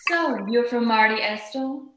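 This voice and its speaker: A woman playing a tough, sharp-tongued femme fatale in an old-time radio detective play, curt and sardonic. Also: on the high side, a little slow, heavy background noise, close to an everyday voice. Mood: cool, suspicious. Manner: curt, hard-boiled, sardonic. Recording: echoey room sound.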